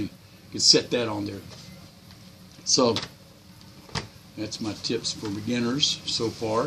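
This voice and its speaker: An older man talks off the cuff like a hobby video host, folksy and plain-spoken. His voice is bright and sits at a middling pitch. He rambles casually, with clear noise behind him. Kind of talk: casual unscripted tips talk.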